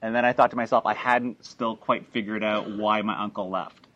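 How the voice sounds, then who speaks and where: A low-voiced man talks an audience through how he made his documentary. He rambles, with restarts and fillers. The recording is dull and lo-fi. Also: fairly even intonation, normal speed, fairly bright tone. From Canada. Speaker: filmmaker presenting his documentary. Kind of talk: talk to an audience after a screening.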